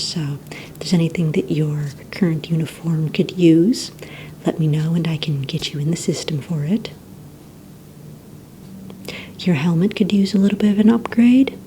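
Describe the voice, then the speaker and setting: A woman speaks low and very mellow in a soft, breathy half-whisper. She plays a courteous, organized receptionist in an ASMR roleplay, gently and politely walking the listener along. A faint rumbling noise sits behind her voice. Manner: gentle, polite, unhurried customer-service patter. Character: courteous, organized female villain-agency receptionist. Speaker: ASMR roleplay performer as a villain recruitment receptionist.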